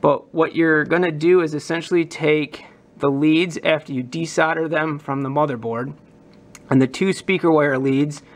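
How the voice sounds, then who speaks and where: A man with a slightly high voice walks viewers through a hobby modification. He speaks off the cuff, thinking aloud as he works through each step in a casual tutorial style. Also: fairly even intonation, moderate pace. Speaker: hobbyist demonstrating a prop modification.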